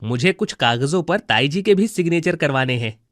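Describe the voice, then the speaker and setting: An actor playing a falsely dutiful, scheming adult son in a cartoon moral story, speaking in a placating way. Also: male voice, on the high side, lively intonation, normal speed, a bit bright, no echo, studio quality. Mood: reassuring.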